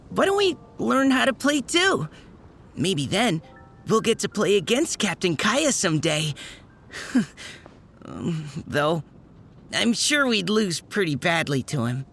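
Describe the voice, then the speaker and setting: A woman voicing an earnest, polite young male knight in a video game, gentle and encouraging. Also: medium pitch.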